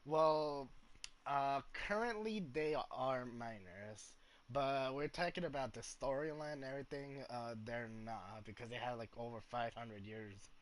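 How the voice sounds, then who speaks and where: A man doing casual livestream game commentary, explaining things in a hesitant, halting way and repeating himself. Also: medium pitch. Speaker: game streamer. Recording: game music behind the voice.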